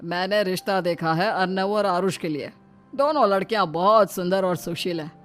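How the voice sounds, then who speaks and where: A woman's voice: on the low side, moderate pace, soft background music, close to an everyday voice. A woman voicing a genial, persuasive village elder brother-in-law in a cartoon story.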